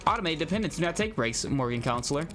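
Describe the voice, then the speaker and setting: A man's voice: fairly high pitch, expressive, fast talker, over music, natural voice. A man voicing a polite, humorless female AI receptionist in formal customer-service phrases, reading from a visual novel game. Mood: flatly helpful.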